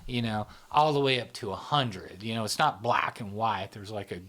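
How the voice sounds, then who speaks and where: A man's voice: on the low side, normal speed, fairly bright tone. A man hosting a podcast, explaining ideas in a loose, rambling way with many fillers and tag questions. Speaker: podcast host. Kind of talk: casual explanatory chat.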